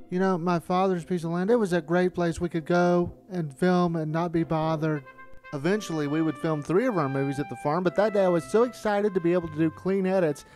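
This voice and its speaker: A man with a slightly high voice narrates a documentary-style look back at his own amateur productions. He talks conversationally, like someone telling stories. Music plays clearly behind him. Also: darkish tone. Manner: conversational storytelling. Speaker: amateur filmmaker narrating a retrospective.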